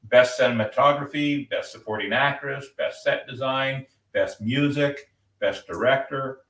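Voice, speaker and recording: A man's voice: medium pitch, measured pace, fairly bright tone, distant mic. A man hosting a podcast, talking energetically and rambling, laughing often. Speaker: podcast host. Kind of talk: casual podcast chat. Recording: roomy, off-mic.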